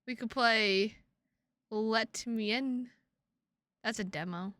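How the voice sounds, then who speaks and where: A woman live streaming games, chatting casually with viewers in an excitable, sweary way and repeating her phrases for emphasis. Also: a little high, slow, no echo, studio quality. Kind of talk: casual livestream chat with viewers.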